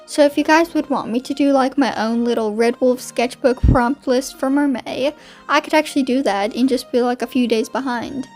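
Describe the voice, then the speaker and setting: A young woman chatting casually over a voiceover, filling her talk with "like", her voice slightly hoarse. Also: high voice, normal speed, a little mellow, soft background music. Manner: chatty, many "like" fillers. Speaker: art YouTuber.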